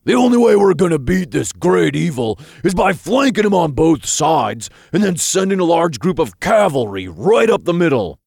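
A man doing a gruff blustering imp general. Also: a little high, very animated, normal speed, hardly any pauses, a little mellow, no echo, studio quality, voice pushed up, exaggerated voice. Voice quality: gruff, put-on general's bark. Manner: bossy, blustering, slangy. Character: brash male imp playing a gruff war general.

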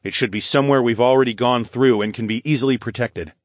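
A man's voice: medium pitch, fast, dry recording, studio quality, voice pushed up, lightly acted. Man as a direct, commanding fantasy hero. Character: male young fantasy lord, decisive healer hero.

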